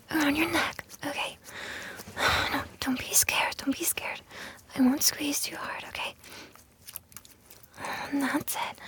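A woman performing a whispered intimate roleplay, breathy and sighing, coaxing the listener by turns with commands and soothing reassurance. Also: sits high, fairly bright tone. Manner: breathy whispering with frequent sighs.

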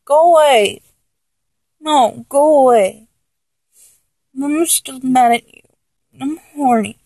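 High-pitched, exasperated girlfriend, acted by a woman. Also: slow pace, dark tone, no room sound, exaggerated voice. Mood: pouty, wavering. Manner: exasperated, teasing, whiny, with sighs and giggles. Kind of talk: intimate adult audio roleplay, one-sided dialogue.

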